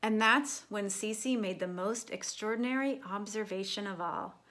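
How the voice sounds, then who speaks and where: Lady doing read aloud for kids, takes her time, expressive, normal mid voice. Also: mid-range voice, a little slow. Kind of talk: expressive children's picture-book reading.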